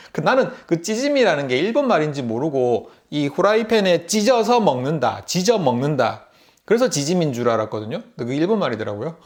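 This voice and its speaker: A man's voice: fairly high pitch, sing-song, brisk. Chatty Korean man with a Busan accent. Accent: Gyeongsang (Busan) Korean. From Busan, South Korea. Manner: chatty, laughs often, fills with "이제". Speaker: YouTube vlogger. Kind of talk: casual storytelling to camera.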